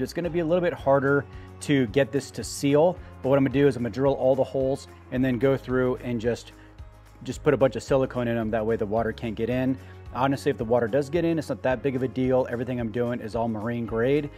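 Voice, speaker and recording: A man's voice: medium pitch, darkish tone. A middle-aged man casually walking through a gear build in a wooden workshop, explaining each part with easy enthusiasm on a lapel mic. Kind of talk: product build walkthrough. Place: open wooden workshop, indoors.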